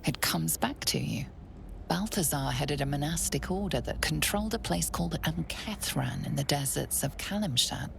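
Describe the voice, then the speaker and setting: Low, very creaky game narrator. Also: female voice, animated, normal speed, noisy background, exaggerated voice. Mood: grave, hushed. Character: grave female game narrator recalling lore.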